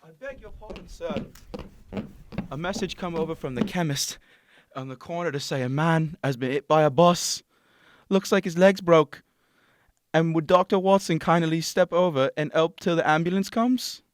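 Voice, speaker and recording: Man acting an eager, high-pitched servant boy. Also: moderate pace, dry recording, studio quality, lightly acted. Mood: earnest. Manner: deferential, colloquial, eager. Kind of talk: radio drama acting.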